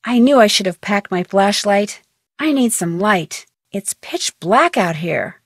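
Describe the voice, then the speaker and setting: A woman's voice: medium pitch, expressive, measured pace, dry recording, clean studio sound. A woman playing a plucky, polite young amateur detective in a video game, earnest and thinking aloud. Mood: puzzled, musing. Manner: earnest, crisply phrased, self-narrating.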